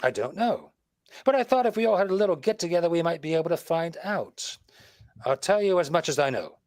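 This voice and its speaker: Man playing a lively, higher-voiced radio detective. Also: brisk, dry recording, clean studio sound. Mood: confident, explanatory. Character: shrewd, wisecracking male detective laying out a murder case. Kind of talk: 1940s radio mystery acting.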